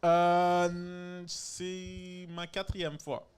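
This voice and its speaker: A man's voice: sits high, completely flat delivery, extremely slow, smooth. A man in his thirties, originally from Senegal, talking as a comedian guest on a live podcast. He jokes, laughs often and restarts his sentences. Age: 30s. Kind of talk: casual live podcast chat. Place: live venue before a stand-up show.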